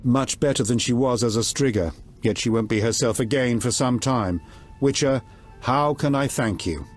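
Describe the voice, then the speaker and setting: An actor playing a gruff, foul-mouthed, domineering middle-aged king in a video game, with a blunt, earthy authority. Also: mid-range voice, moderate pace, faint music behind, lightly acted. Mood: wry authority.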